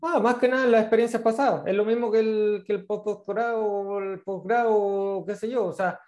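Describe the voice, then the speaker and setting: Man, 30s, Chilean accent, raised pitch, fast, filler-heavy, video call earphone mic. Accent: Chilean Spanish. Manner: fast, repeats words, many fillers, animated. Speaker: guest speaker on international internships. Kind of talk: casual advice in an online talk. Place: small room indoors.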